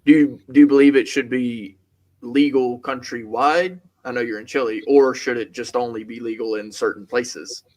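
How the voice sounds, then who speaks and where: A man hosting a casual panel, teasing the guests and asking measured questions. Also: mid-range voice, fairly even intonation, darkish tone.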